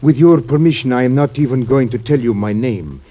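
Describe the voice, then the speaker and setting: Vintage radio play, man as grave gentleman pleading his case; formal, medium pitch, muffled sound. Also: close to an everyday voice. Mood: confidential, formal. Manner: formal, deliberate, polite. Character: earnest, secretive Spanish gentleman, grave and pleading visitor. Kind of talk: old-time radio drama acting.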